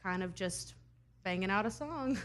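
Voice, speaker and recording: A woman's voice: medium pitch, lively intonation, unhurried, a bit bright, slight background noise. A young woman from Idaho, a singer-songwriter answering interview questions in an earnest, thoughtful way with laughs between answers.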